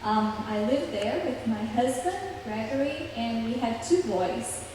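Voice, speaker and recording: A woman from Brazil with a Brazilian Portuguese accent, giving an earnest personal testimony with frequent pauses. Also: on the high side, lively intonation, mellow, slight background noise. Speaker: church plant speaker. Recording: echoey hall, distant mic.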